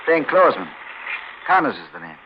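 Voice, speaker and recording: A man's voice: on the high side, wildly swooping pitch, brisk, gravelly, heavily acted. An actor playing a suspicious, menacing plainclothesman, clipped and insinuating, in 1940s radio mystery style. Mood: cagey, menacing. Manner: clipped, tough-guy, insinuating. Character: suspicious, menacing plainclothes policeman, terse and cagey. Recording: noisy, dull 1940s radio recording.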